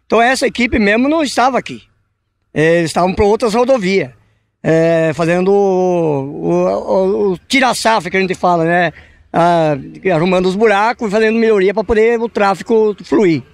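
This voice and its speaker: A man's voice: high voice, moderate pace, vocal fry, no room sound. An older man with a Brazilian Portuguese accent, a public works official, answering questions outdoors on a dirt road with hesitant restarts. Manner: plain, repetitive with hesitant restarts. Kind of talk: interview answers. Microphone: reporter's handheld microphone.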